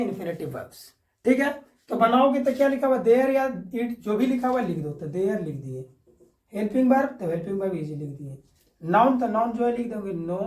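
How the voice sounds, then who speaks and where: A man's voice: very high voice, darkish tone. A man teaching a grammar lesson step by step, repeating points and checking for understanding with short tag questions. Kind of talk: classroom-style grammar lesson, explaining step by step. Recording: echoey room, distant microphone.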